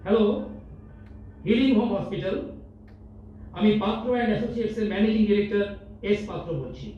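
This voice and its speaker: A man acting a troubled, soft-hearted managing director in a staged audio drama, speaking emotionally with dramatic pauses. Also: high-pitched, soft background music, natural voice. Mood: resolute. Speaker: amateur stage actor in an audio drama competition. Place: multipurpose hall stage. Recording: echoey hall, distant mic, dull sound.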